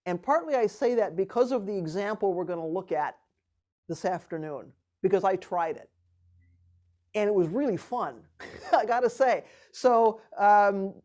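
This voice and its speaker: Woman retreat leader, casual and animated, mid-range voice. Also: medium pitch. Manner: animated, laughs often, many restarts. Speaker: retreat teacher. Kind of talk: casual teaching talk.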